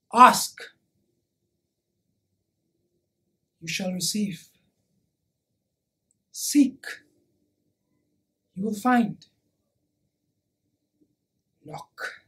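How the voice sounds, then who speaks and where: A woman performing a theatrical monologue as an impassioned preacher, speaking deliberately and emphatically with dramatic pauses. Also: high voice, exaggerated voice. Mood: quiet, earnest. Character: impassioned preacher urging a crowd to love.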